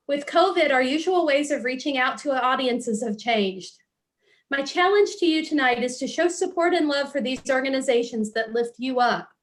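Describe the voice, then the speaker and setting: A woman giving a prepared welcome speech and arts announcements in an earnest way. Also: sits high. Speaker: arts event speaker.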